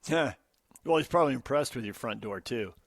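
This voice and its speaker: A man's voice: medium pitch, expressive, fairly quick, dry recording, clean studio sound. An American man co-hosting a sports talk radio show, bantering and reading out comments in punchy, emphatic short phrases. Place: radio studio. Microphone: close studio microphone.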